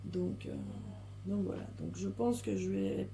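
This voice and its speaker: A French woman with a hoarse, strained voice, chatting casually to her viewers while coloring and often stopping and restarting. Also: on the low side, very animated, measured pace, noisy background. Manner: rambling with many hesitations and restarts. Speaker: coloring channel host.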